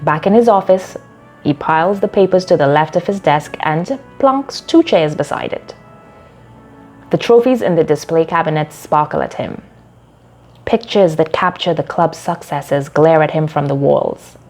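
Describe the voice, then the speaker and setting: A woman with a fairly low voice narrates a scripted short story. Her delivery is measured and dramatic, with faint music playing behind her. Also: a little mellow.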